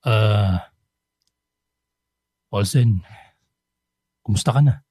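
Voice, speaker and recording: Remorseful dad, middle-aged: very dark, husky, halting and pleading, radio drama over faint music. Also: male voice, fairly low pitch, no echo, studio quality, exaggerated voice. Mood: hesitant, hurt. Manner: halting, soft-spoken, apologetic. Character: remorseful middle-aged father, hesitant, pleading, hiding his true self.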